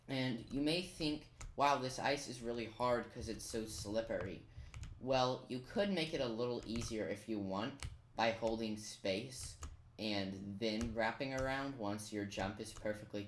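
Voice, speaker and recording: A chatty commentator talks through a video game guide in a casual, self-deprecating way, as if thinking aloud. The voice sits at a middle pitch. It is recorded in an echoey room with faint noise and keyboard clicking behind it. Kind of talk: casual gameplay tutorial commentary. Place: indoors in a roomy-sounding room at a computer. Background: keyboard typing and clicks.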